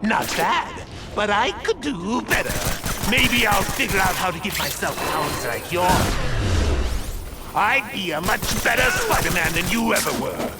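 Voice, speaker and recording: A man's voice: high-pitched, sing-song, measured pace, very noisy, exaggerated voice. A male actor voicing a bitter, spiteful young rich-heir villain in a video game, with a volatile, sneering delivery. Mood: mocking, gloating. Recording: loud music and action behind the voice. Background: loud game soundtrack.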